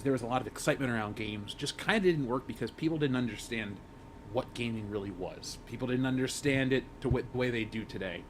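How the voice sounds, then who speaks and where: A man giving his opinions casually as a member of a discussion panel. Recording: faint rumbling background noise.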